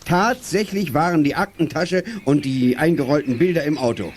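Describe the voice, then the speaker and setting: An actor playing an authoritative, formal police officer in a children's radio play, brisk and firm. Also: male voice, a little high, natural voice. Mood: official.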